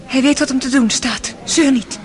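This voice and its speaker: A woman's voice: sits high, moderate pace, lightly acted. An actress playing a bossy, impatient young woman in a radio drama. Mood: urgent, impatient.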